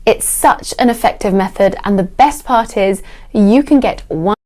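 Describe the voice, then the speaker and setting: A woman English teacher explaining clearly to her viewers, stressing her key words. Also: medium pitch, normal speed, darkish tone.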